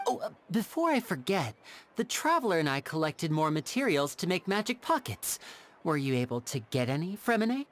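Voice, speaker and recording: A woman's voice: deep voice, sing-song, a little fast. A woman playing a charming young male stage magician and caring older brother in an anime game, polite and theatrical. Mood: friendly.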